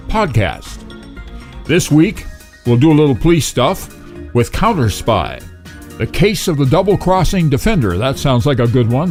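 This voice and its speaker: A man's voice: a little high, a little mellow. A man introducing a podcast as host, in a casual, chatty style.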